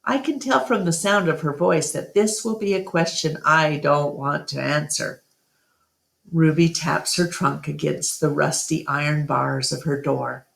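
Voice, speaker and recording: A woman narrating a children's book aloud as an expressive storytime reader. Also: low-pitched, a little slow, vocal fry.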